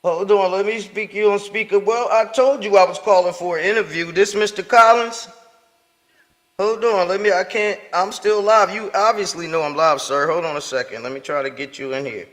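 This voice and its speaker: A man's voice: high-pitched, moderate pace, fairly bright tone, long reverb tail. A man hosting a live show, talking loosely in slang with lots of fillers and restarts. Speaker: podcast host. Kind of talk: casual live show chat.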